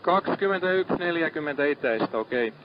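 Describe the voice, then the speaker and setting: A man working as a ship's radio operator, calm and businesslike, repeating figures back in radio procedure. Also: a little high, crowd noise. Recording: muffled archive marine radio.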